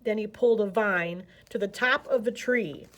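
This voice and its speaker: A woman reading a picture book aloud as a children's storyteller, with animated, dramatic emphasis. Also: mid-range voice, normal speed.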